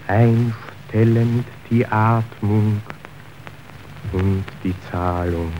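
A man's voice: lowish voice, a little mellow, lo-fi sound, slight background noise. A man acting an impassioned messenger in a radio drama, declaiming verse in a theatrical manner that moves between grave and frenzied. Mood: hushed, solemn. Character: impassioned male messenger reporting a stock market collapse.

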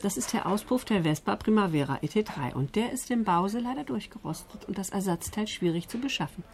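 A woman playing a plain-spoken, lively office colleague in a naturalistic radio drama. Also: medium pitch, fairly quick, a crowd in the background, lightly acted. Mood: explaining.

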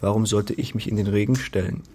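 Mellow, taunting farmer, low voice man acting. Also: fairly even intonation, fast talker, lightly acted. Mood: self-assured. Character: taunting, self-assured farmhand turned master of the house. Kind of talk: literary radio drama acting.

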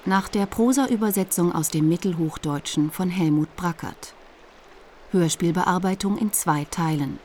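A woman's voice: fairly low pitch, measured pace, darkish tone, slight background noise, close to an everyday voice. A woman announcing the title and credits of a radio play.

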